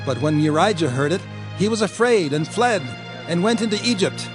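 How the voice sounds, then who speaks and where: A grave elder declaims in a fairly high male voice. Clear music accompanies the dramatized scripture reading. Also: expressive, normal speed. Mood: grave, cautioning. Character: grave male elder recalling past prophecies.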